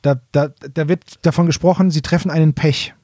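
A middle-aged German man with a slightly high voice co-hosts a podcast. He explains things in casual fan talk, often restating himself as he goes. The sound is dry and close. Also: steady tone, brisk, dark tone, dry recording. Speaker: podcast co-host reviewing audio dramas. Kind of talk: casual, enthusiastic fan chat.

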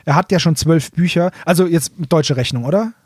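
A man with a fairly high voice chats as a podcast co-host. He explains things informally, fan to fan. The recording is close and dry. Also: fairly quick, darkish tone, breathy edge, dry recording. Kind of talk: casual explanatory fan chat.

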